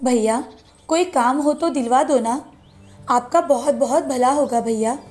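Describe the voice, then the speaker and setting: A woman storyteller voicing a humble, kind, homeless young mother in a gentle, earnest way for a children's moral story. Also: high-pitched, a little slow. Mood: humble, pleading.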